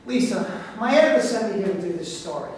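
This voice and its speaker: A man's voice: high-pitched, lively intonation, quick pace. Very reverberant room, distant mic, man acting earnest male newspaperman, defensive, pleading, wounded former sweetheart, stage drama. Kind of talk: staged play reading. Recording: very echoey room, distant mic, faint noise.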